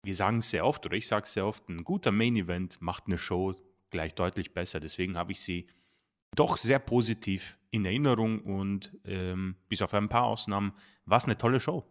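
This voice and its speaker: A man, co-hosting a podcast in casual chat, halting and full of ums as he thinks aloud. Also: low voice. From Austria. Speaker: podcast co-host.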